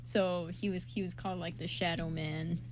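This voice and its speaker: A woman's voice: on the low side, fairly even intonation, normal speed. A woman co-hosting an amateur radio show in casual, informal, rambling chat. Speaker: radio show co-host and writer. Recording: amateur recording with background noise.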